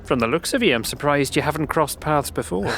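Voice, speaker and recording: A man's voice: on the high side, lively intonation, fairly quick, a bit bright, slight background noise. An actor playing an earnest, hopeful man of a grim fantasy slum, speaking in a friendly, confiding way for an audio drama. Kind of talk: audio drama character acting.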